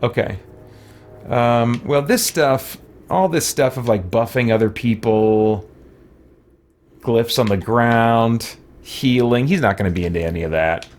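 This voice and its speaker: A man's voice: medium pitch, measured pace, slight background noise. A man giving casual let's play commentary, muttering to himself as he reads game text aloud and mulls it over.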